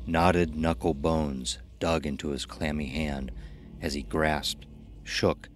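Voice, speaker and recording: A man narrating a scripted horror story in a deliberate, dramatic, measured style. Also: extremely low pitch, steady tone. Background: music under the voice.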